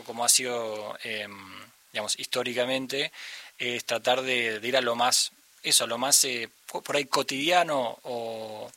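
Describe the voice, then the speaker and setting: A man with an Argentine accent, a musician talking thoughtfully in an interview and often pausing to search for the right word. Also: mid-range voice, bright, forceful tone. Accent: Argentine Spanish. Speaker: musician guest. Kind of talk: reflective conversational interview.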